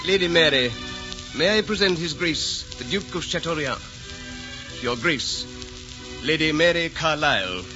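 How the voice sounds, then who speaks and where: A muffled old radio recording. a haughty, crooked English duke with a fairly high voice that rises and falls sharply. He is clipped and indignant, in period radio drama manner. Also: expressive, a little slow, pauses often. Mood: formal. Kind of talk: 1940s radio drama acting. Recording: dull old radio recording, faint music.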